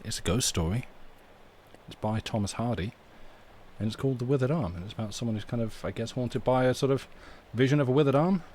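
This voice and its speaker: A man's voice: medium pitch, quick pace, a little mellow. Guy hosting a stream, casual rambly chat, kinda tentative, mid voice, bit of noise behind. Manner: rambling, tentative, self-interrupting. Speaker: livestream host.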